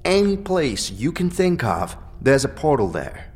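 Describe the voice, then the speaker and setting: An actor playing a chatty, wisecracking skull sidekick who explains things colloquially in a fantasy audio drama. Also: male voice, medium pitch, very animated, measured pace, darkish tone. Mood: chatty, wry. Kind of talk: audio drama game dialogue.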